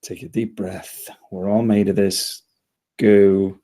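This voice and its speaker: A man playing an anxious, hapless spaceman with a husky voice and nervous manner in a comedy table read. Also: fairly low pitch, level tone, slow, warm tone. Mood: nervous pep talk. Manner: nervous, self-coaxing.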